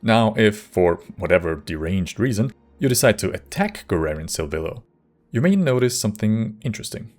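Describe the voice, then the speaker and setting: A man's voice: fairly low pitch, very animated, normal speed, a little mellow, soft background music. A man hosting a scripted video essay about games, speaking as a narrator.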